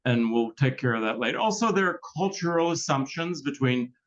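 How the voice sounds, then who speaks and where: A man's voice: medium pitch, lively intonation, fairly quick. Male moderator, earnest and explanatory, muffled lo-fi audio. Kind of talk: moderating a club talk.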